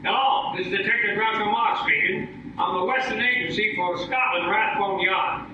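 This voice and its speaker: A man's voice: sits high, moderate pace, close to an everyday voice. Echoey wisecracking lecherous detective played by a man. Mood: glib. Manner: glib, deadpan wisecracking. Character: wisecracking, lecherous comic detective impersonating a famous quizmaster. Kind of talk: old time radio comedy acting. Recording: noisy, dull, very echoey live stage, distant.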